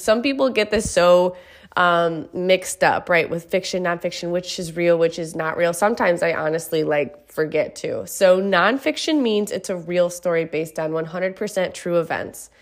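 A woman with a slightly low voice talks in a relaxed, chatty way about her work as an author. She sounds a little stuffy, as if fighting a cold, and keeps things earnest and personal, often tossing in a quick "right?" to the listener. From Michigan. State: stuffy nose. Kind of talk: casual chat.

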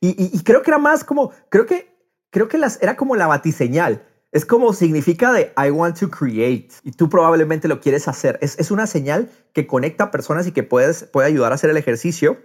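A man's voice: on the high side, lively intonation, fairly quick. A man in a casual podcast conversation, speaking with enthusiasm and repeating words as he thinks aloud. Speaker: podcast host.

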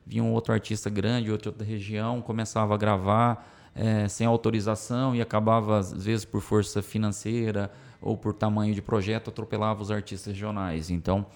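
A man from São Paulo state with a Brazilian Portuguese accent carrying a country twang, telling his story in a relaxed, casual interview. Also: fairly low pitch, brisk. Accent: Brazilian Portuguese with a country twang picked up from the Center-West. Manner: storytelling, relaxed, many fillers. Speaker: music publisher guest.

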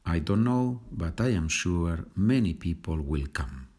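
Slow, low-voiced Spanish man teaching. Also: animated, darkish tone, slightly rough. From Spain. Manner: patient, enunciates slowly, repeats phrases. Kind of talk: slow, repetitive language lesson.

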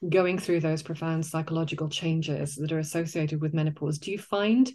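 A woman's voice: sits low, steady tone, normal speed, a little mellow. A mature woman in thoughtful podcast conversation, explaining ideas articulately and with emphasis, as an author discussing her work.

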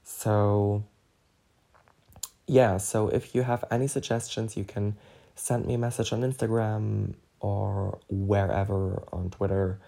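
A man's voice: lowish voice, level tone, takes it slowly, creaky, dark tone. A man with a German accent, whose first language is German, hosting a casual solo podcast in a rambling way, with lots of "so, yeah" and "like". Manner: rambling, frequent fillers like "so, yeah" and "like". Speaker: podcast host.

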